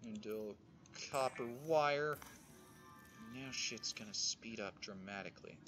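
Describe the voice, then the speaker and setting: A streamer giving loud, animated commentary while playing a game at home. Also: mid-range voice, measured pace, over music. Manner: animated, sudden excited outbursts. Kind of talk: casual gameplay commentary. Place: indoors at home.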